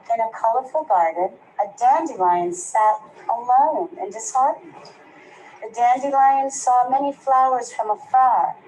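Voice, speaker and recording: A woman's voice: a little high, a little mellow, a little hiss or hum, poor audio. A woman teacher reading a rhyming picture book aloud to young children, stopping to ask them questions. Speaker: preschool teacher.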